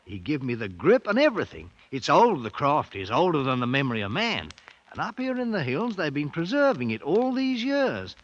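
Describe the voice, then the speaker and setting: A man's voice: fairly high pitch, wildly swooping pitch, moderate pace, highs cut off. A man in a 1950s radio drama playing a bold, ambitious British ex-soldier who makes himself king, commanding and boastful in colloquial speech. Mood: scheming, fervent.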